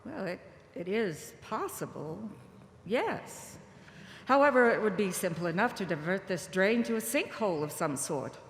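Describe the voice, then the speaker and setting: A woman's voice: mid-range voice, lively intonation, normal speed, natural voice. Woman acting determined female researcher, outspoken, audio drama, reverberant hall, background noise. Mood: cooperative. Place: live stage performance in a large hall.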